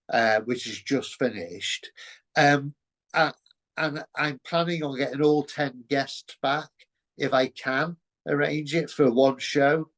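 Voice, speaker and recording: A man's voice: medium pitch, a bit bright. A man hosting a casual interview, speaking politely and hesitantly. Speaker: host.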